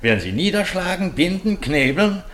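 An actor in a German radio play playing an earnest, determined gentleman adventurer, insistent and indignant. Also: high voice, very animated, normal speed. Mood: defiant, resolute.

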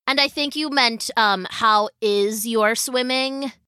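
A woman playing smug young boy, pedantic, fussy, hesitant corrections, bright tone, storybook character. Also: fairly high pitch, takes it slowly, smooth, dry recording, studio quality.

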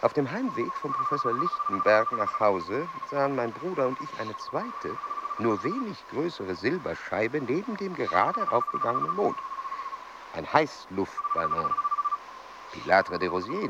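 Older man reminiscing, super up-and-down voice, muffled, loud crickets. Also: mid-range voice, big pitch swings, normal speed, heavy background noise. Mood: wistful. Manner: reminiscing, thoughtful. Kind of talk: radio play acting.

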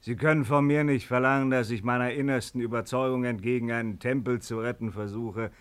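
An actor playing a stiff, self-righteous sergeant, formal and unyielding, in a radio play. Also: male voice, medium pitch, fairly even intonation, a little fast, clear, resonant tone, lightly acted. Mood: primly defiant. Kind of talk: German radio play acting.

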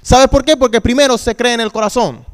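Male youth pastor, thirties, high smooth voice, preaching with repetition and call-and-response. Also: fairly even intonation, fairly quick. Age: 30s. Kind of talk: sermon.

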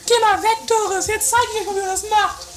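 A performer playing a bossy, vain, theatrical sister in an improvised trashy comedy audio drama, loud and sarcastic. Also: extremely high pitch, fairly even intonation, a little fast. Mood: boastful, wailing.

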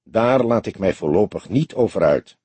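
A man's voice: fairly low pitch, lively intonation, normal speed, dark tone, slightly rough, dry recording. A man voicing a patient, mocking, authoritative grey-haired old police detective in an audiobook. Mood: guarded. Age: older.

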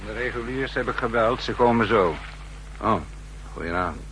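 An actor playing a stern, manipulative secret agent with a deliberate, insistent manner in a 1960s radio drama. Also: male voice, lowish voice, a bit bright, close to an everyday voice, rough recording. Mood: calm, assured. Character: stern, manipulative male secret-service accomplice. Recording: hissy, humming 1960s radio recording.

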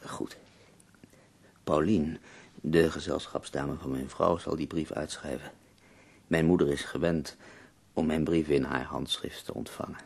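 Intense, gravelly-voiced tormented poet. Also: male voice, very deep voice, fairly even intonation, measured pace, long pauses, heavily acted. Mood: measured. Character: ailing, intense male German poet dictating to a woman. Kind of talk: radio play acting.

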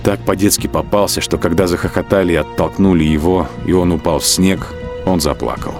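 A man narrating a radio play in a measured, grave storytelling manner. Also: low-pitched. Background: music.

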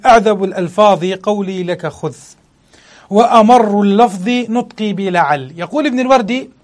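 A man with a high voice gives a religious lesson on a classical poem. He preaches with fervor, piles up rhetorical questions and slows down to recite each verse. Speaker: Islamic scholar teaching a classical poem. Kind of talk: religious lesson explaining poetry.

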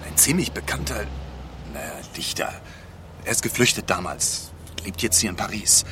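An actor playing an anxious, self-important refugee writer, hesitant yet insistent, in radio play dialogue. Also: male voice, fairly low pitch, sing-song, moderate pace. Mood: secretive, nervous. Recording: noisy street ambience with traffic.

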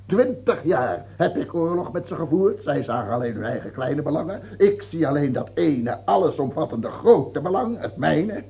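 A man's voice: a little high, sing-song, moderate pace, vocal fry, hardly any pauses, slightly rough, full character voice. An actor playing a scheming, imperious aging French king in a radio play, theatrical, moving between murmured musing and scornful outbursts. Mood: scornful exasperation. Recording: dull, muffled old radio recording.

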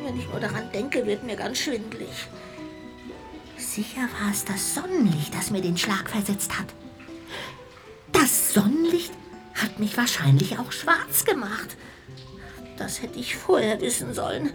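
A woman playing an anxious, childlike little ghost in a children's radio play, with expressive, lamenting exclamations. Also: a little high, unhurried, pauses often, over music. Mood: wretched, lamenting.

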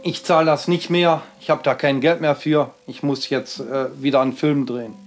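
A man giving a rambling personal monologue, speaking haltingly, often repeating himself and circling back on his phrases. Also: medium pitch, level tone, over music.

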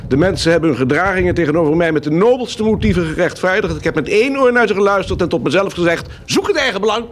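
A man's voice: high-pitched, expressive, fast talker, slight background noise. A man acting an elderly banker and envoy in a radio play, formal and courteous, hesitant at times, with a shrewd streak. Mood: measured, shrewd. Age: older.

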